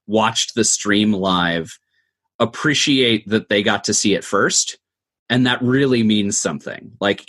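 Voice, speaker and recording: Guy with a lowish voice talking through how stuff works in an interview, thoughtful, step by step. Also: a bit bright. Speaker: interview guest, audio drama producer. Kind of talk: conversational interview answers, explanatory.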